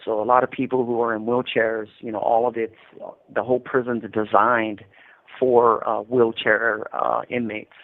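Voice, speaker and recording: A man's voice: medium pitch, level tone, moderate pace. American man in his fifties, phone line. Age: 50s. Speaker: incarcerated interviewee calling from prison. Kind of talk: casual phone interview, recounting experiences. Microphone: prison telephone. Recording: tinny narrow-band telephone line.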